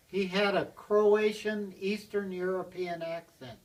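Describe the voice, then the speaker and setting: Older guy with a higher voice spinning a long yarn, chuckling, mic way off. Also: fairly even intonation, slow pace, a little hiss or hum. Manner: rambling, chuckles as he tells it. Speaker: blacksmith telling stories. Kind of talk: casual storytelling to a small group. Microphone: handheld video camera. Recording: distant from the mic, roomy.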